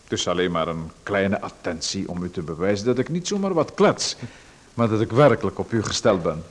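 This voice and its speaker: An actor playing a sinister, overly familiar visitor in a radio play, with a calm, insinuating, politely evasive way of talking. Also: male voice, low voice, brisk. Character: sinister, overly familiar male visitor who watches a playwright.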